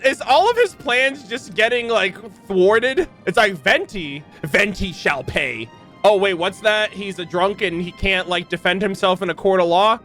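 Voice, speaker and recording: Gaming reaction host, male, high bright voice, casual and expressive, slangy delivery, music low underneath. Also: unhurried.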